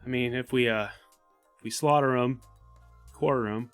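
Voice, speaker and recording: A man's voice: mid-range voice, fairly even intonation, normal speed, smooth, soft background music. A man chatting casually and matter-of-factly as a player in a tabletop game.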